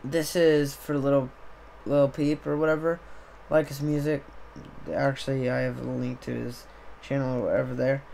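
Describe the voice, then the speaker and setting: A young man, slightly hoarse, chatting casually on a live stream into a desk mic on a boom arm, rambling with many fillers. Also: little pitch movement, normal speed, a little mellow, slight background noise. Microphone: desktop USB mic on a boom arm.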